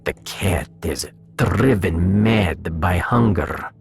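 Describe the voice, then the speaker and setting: A man voicing a pompous genius cat in a science fiction audiodrama, deliberate and superior, savoring every pause. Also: low-pitched, gravelly, heavily acted. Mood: smug lecturing. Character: suave, superior male genius cat, menacingly clever.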